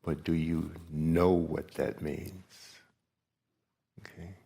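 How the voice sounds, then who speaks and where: Deep husky older man, slow and halting. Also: little pitch movement, mellow. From South Carolina coast, United States. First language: Gullah. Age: 70s. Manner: slow, halting, with long pauses. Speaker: meditation teacher. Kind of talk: retreat teaching talk. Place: meditation hall.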